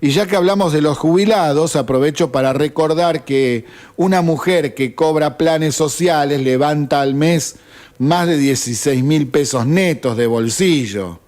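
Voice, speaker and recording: A man's voice: fairly high pitch, measured pace, darkish tone. A man with an Argentine accent, hosting a radio show and delivering an indignant opinion monologue full of rhetorical questions. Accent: Argentine Spanish. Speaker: radio host.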